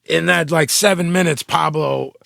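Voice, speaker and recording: Philly podcast host, gravelly, dry close mic, swears freely. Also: male voice, fairly high pitch, normal speed, no echo. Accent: Philadelphia. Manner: profane, animated storyteller. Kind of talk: casual chat.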